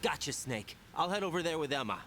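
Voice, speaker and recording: An actor playing a young male operative who speaks quietly and levelly. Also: on the high side, very animated, fairly quick, slight background noise.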